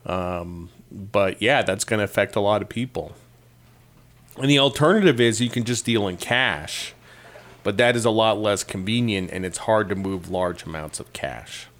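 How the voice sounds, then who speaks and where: Wry, low-voiced man telling anecdotes. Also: moderate pace, a bit bright. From Connecticut, USA. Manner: conversational, wry, rambling anecdotes. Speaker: podcast host. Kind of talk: casual monologue.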